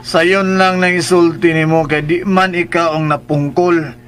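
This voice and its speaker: Gloomy drinking boss for radio drama: weary, bitter, somewhat high-pitched. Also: male voice, little pitch movement, a little slow, fairly bright tone, slight background noise. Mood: bitter, glum.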